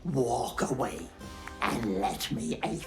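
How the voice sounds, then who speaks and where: A woman's voice: extremely low pitch, slow, soft background music. A woman playing a gleefully cruel, pregnant old hag in a video game, theatrical, taunting and menacing. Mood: mock-reasonable, menacing. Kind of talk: video game villain acting.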